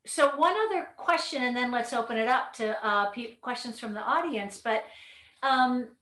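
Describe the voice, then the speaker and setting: A woman's voice: a little high, normal speed, fairly bright tone. A woman moderating a panel conversationally, encouraging and thoughtful as she searches for her words. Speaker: discussion moderator. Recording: echoey room sound.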